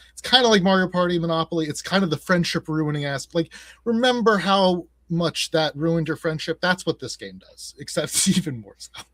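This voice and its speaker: A man's voice: fairly high pitch, a bit bright, dry recording. A man speaking as an interview guest, casually explaining his work as a game designer.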